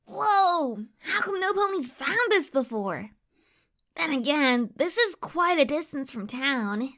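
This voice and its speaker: A woman voicing a curious, brash young pony filly who spooks easily, in expressive acted dialogue for a fan fiction audio story. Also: high-pitched, slow pace, heavily acted. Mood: amazed. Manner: youthful, expressive, talking to herself.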